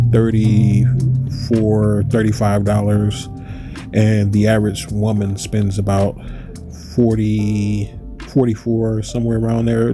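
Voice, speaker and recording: A man's voice: lowish voice, dark tone. A man hosting his channel in a rambling monologue, his voice stuffy from allergies, asking rhetorical questions and correcting himself. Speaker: channel host. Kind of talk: casual monologue to camera.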